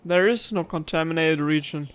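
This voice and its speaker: A man's voice: fairly high pitch, normal speed, fairly bright tone. A man giving casual let's-play commentary, musing aloud and rambling with playful complaints. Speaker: gaming streamer. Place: own room at home. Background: game music.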